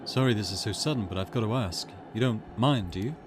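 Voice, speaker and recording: A man's voice: lowish voice, fast, close to an everyday voice. A man voicing a polite stranger in a visual novel, casually apologetic and probing. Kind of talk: reading visual novel dialogue in character. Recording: music under the voice.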